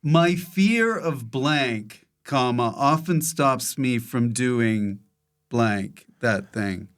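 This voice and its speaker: A man's voice: medium pitch, animated, very slow. A man hosting a casual interview, speaking in short interjections and clipped phrases. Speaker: podcast host.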